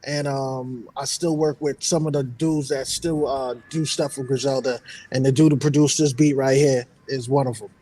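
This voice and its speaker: A man's voice: medium pitch, steady tone, moderate pace, mellow, poor audio. A man from New York, a rapper, talking easily about his background on a music show with lots of "um"s. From New York, USA. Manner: easygoing, thankful, filler-heavy. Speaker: rapper guest. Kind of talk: casual interview.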